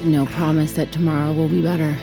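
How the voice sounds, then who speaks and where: A woman's voice: sits low, level tone, fast talker, gravelly, over music. An actress playing a despondent mother in an audio drama. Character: despondent mother separated from her children.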